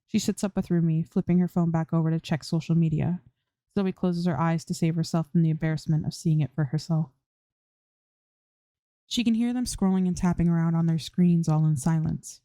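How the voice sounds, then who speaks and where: A woman's voice: deep voice, fairly even intonation, fairly quick, warm tone, no echo. A woman reading fan fiction aloud as scripted audiobook-style narration, close to the microphone.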